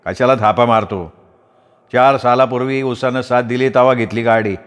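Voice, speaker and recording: A man's voice: medium pitch, steady tone, moderate pace, long reverb tail, natural voice. A man voicing a stubborn former soldier turned farmer who talks bluntly and defiantly.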